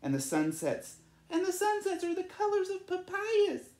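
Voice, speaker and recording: Small female swallow, storybook character: very high, earnest, coaxing, roomy sound; performed by a narrator. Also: huge pitch swings, normal speed, a little mellow, full character voice. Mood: eager, encouraging. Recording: echoey room.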